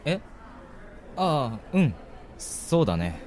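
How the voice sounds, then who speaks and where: A hesitant young man of middling pitch, acted by a man in a radio drama. He is mild and wary, and his intonation swings widely as he talks. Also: sing-song, takes it slowly, darkish tone, slight background noise. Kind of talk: amateur Japanese radio drama acting.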